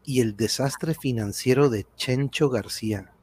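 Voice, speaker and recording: A man's voice: mid-range voice, unhurried, a little mellow, husky. A man with a Mexican accent hosting a casual livestream chat in a jovial, often chuckling way. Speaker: livestream host.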